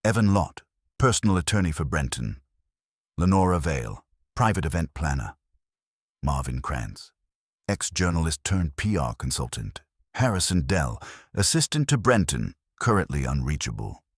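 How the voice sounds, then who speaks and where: A man plays an imperious master detective in an audiobook mystery. The voice is very deep and dry, with lively pitch movement. Each pronouncement is formal, clipped and cerebral. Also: moderate pace, husky, no room sound, heavily acted. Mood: terse, commanding.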